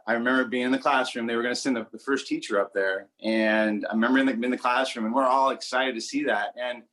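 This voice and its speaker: A man's voice: medium pitch, fairly bright tone. A man in his forties from Southern California, talking casually as an interview guest and often repeating words as he searches for what to say. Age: 40s. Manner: rambling, repeats words while searching for phrasing.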